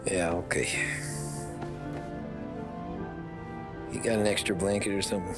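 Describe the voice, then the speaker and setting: An actor playing a loyal, low-key gangster in a crime game, speaking in a plain, understated way. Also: male voice, steady tone, fast talker, music in the background, natural voice. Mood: subdued. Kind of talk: cinematic crime game acting.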